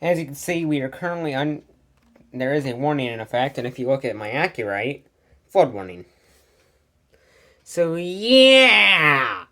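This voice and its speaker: A woman's voice: low-pitched, sing-song, a little slow, long pauses, sharp tone. A woman giving a casual, sweary show-and-tell as host of a collection video, blunt and easily irritated.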